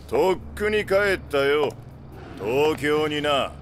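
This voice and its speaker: A man's voice: on the high side, slight background noise. A man playing a sadistic, greedy gangster boss in a video game drama, mocking and theatrical in his drawl.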